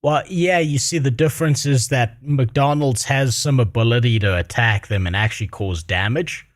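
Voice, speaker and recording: A man's voice: medium pitch, moderate pace, no room sound, studio quality. A man co-hosting a stream, reacting bluntly and crudely, rambling with lots of fillers. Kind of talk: casual reaction chat.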